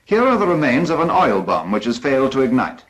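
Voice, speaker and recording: A man's voice: mid-range voice, very animated, brisk. A British man narrating a 1940s instructional film from a script in a formal, precise, instructive manner.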